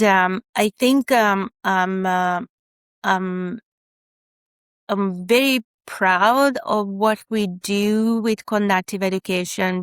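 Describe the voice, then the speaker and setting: A woman's voice: mid-range voice, clean tone, dry recording. A woman in her fifties from Hungary with a Hungarian accent, a special educator speaking as a podcast guest with many fillers and restarts. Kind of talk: podcast interview.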